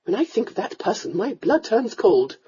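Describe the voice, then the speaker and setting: A woman's voice: big pitch swings, moderate pace, mellow, lo-fi sound, slight background noise, close to an everyday voice. A woman voicing a fussy, domineering countess scolding her daughter in a storybook reading. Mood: commanding. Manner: scolding, shrill, melodramatic.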